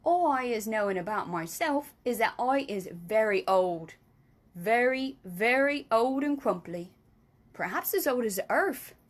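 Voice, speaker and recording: Kindly ancient giant, played by a woman reader: loud, unhurried, grandly mangled grammar, pitch rising and falling a lot. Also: animated. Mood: emphatic. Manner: booming, drawn-out, mangled words. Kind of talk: storybook reading.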